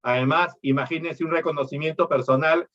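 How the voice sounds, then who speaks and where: A man's voice: fairly high pitch, fairly even intonation, brisk, fairly bright tone, dull audio. A middle-aged man from Peru, lecturing on law and explaining things with rhetorical questions.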